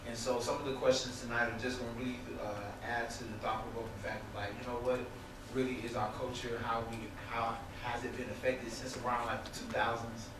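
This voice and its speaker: A young man moderates a student panel and leans on fillers as he frames his questions. His voice sits in a mid range and sounds distant. It is captured in a very echoey hall with steady room noise behind him. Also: medium pitch, brisk. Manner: loose, filler-heavy phrasing. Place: indoor event hall.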